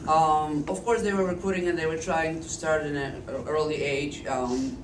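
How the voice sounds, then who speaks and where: Hoarse woman in her fifties with a Polish accent, speaking slowly and carefully; distant camcorder sound in an echoey room. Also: deep voice, steady tone, background noise. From Poland. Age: 50s. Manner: slow, deliberate speech with frequent restarts. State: hoarse, voice lowered by illness. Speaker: interviewee recounting life story. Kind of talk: oral history testimony. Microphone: Flip camcorder at a distance.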